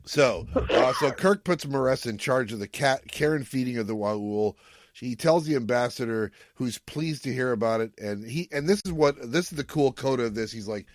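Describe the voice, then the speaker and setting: A man's voice: mid-range voice, moderate pace, dry recording. A man hosting a podcast in a casual, playful and informal way as he recaps and reviews a story. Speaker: podcast host.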